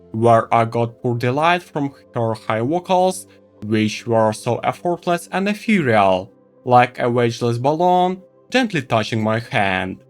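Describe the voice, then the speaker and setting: A man with a medium-pitched voice hosts a product review in non-native English. He narrates from loose scripting with expressive, enthusiastic phrasing. Soft music plays behind his voice. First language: not English. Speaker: tech reviewer and video host.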